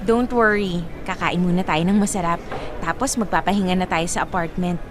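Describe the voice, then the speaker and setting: An actress playing a devoted, generous young girlfriend in a Filipino radio drama, sweet, upbeat and expressive. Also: lowish voice, brisk, noisy background, close to an everyday voice. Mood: joyful, affectionate.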